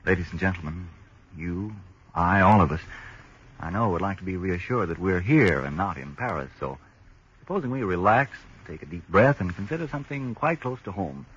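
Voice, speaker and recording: A male radio announcer from the 1940s with a low, lively, persuasive delivery. He speaks smooth and measured. The sound is dull and lo-fi, with faint hiss.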